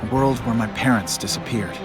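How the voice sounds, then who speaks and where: Male actor as young superhero, grieving, driven, video game narration, middle pitch, loud music behind. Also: brisk, lightly acted. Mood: somber, resolute. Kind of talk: first-person game narration.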